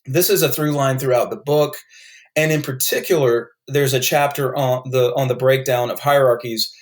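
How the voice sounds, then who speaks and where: A man's voice: medium pitch, steady tone, moderate pace. A man with a North Carolina accent, a guest author explaining his ideas in a podcast interview, thoughtful and full of restarts.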